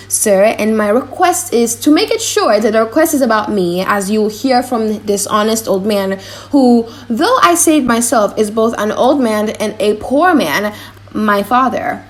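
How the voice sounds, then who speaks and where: Woman playing a cheeky, high-pitched young male servant clown. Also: animated, moderate pace, lightly acted. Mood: eager, bumbling. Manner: rambling, clowning, breaks off mid-thought. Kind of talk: audiobook drama reading.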